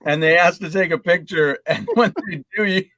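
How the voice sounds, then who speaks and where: A man's voice: fairly high pitch, moderate pace, a bit bright, no echo. A man chatting casually and teasingly as a panelist on a video game podcast. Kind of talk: casual chat.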